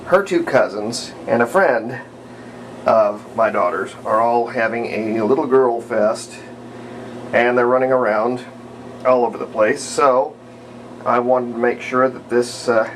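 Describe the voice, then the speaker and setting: A man, middle-aged, giving an unhurried, rambling, step-by-step commentary on hands-on restoration work, recorded indoors at home. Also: mid-range voice, slight background noise, low-quality recording. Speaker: hobbyist radio restorer presenting own repair videos. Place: indoors at home, partly in a bathroom.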